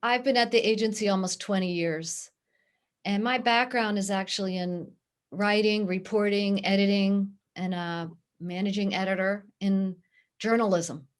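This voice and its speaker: Interview guest, female, middle-aged, Pittsburgh accent, earnest and steady, mid-range pitch. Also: moderate pace. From Pittsburgh, USA. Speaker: guest, nonprofit director. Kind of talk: interview answers.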